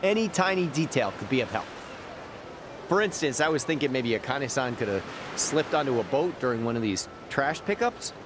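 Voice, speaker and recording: A man's voice: mid-range voice, expressive, fairly quick, lightly acted. A man voicing a talkative, teasing amateur detective type in a video game, wordy and playful. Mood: pondering. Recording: clear outdoor background noise.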